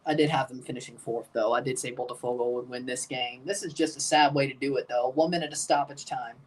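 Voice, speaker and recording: A man streaming a live match watchalong, chatting casually and calling goals with excitement while guarding a strained voice. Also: mid-range voice, quick pace, distant mic, low-quality recording.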